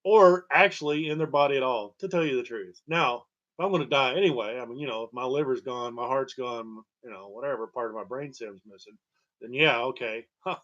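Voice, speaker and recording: Male storyteller, middle pitch, digressive and wry with uneven emphasis; muffled, low-fidelity recording. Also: fairly bright tone. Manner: halting, digressive, wry, chuckling at grim details. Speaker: storyteller and host. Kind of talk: rambling personal monologue about own medical history.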